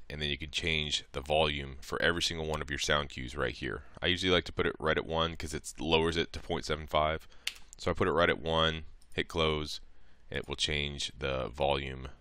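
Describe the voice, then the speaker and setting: A man narrating a casual step-by-step software tutorial in an informal, somewhat hesitant way, thinking aloud as he goes. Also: extremely low pitch, clear, resonant tone, no room sound. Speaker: tutorial presenter.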